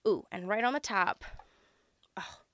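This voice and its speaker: A woman with a hoarse, congested voice from being sick, chatting casually and gushing as she unboxes a subscription box, with plenty of ums. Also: medium pitch, measured pace, a bit bright. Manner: chatty, lots of ums, gushing over items. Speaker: unboxing vlogger.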